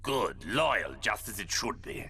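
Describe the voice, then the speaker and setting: A man's voice: fairly low pitch, sing-song, a little slow, loud music behind the voice. A man voicing a scheming, commanding villain doctor with a pompous manner in a children's cartoon. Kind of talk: theatrical cartoon villain acting.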